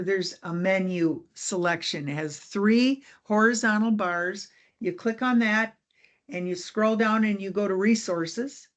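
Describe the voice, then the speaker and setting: Upbeat woman hosting a podcast. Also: medium pitch. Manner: upbeat and encouraging, with quick asides. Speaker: podcast host. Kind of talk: casual podcast interview.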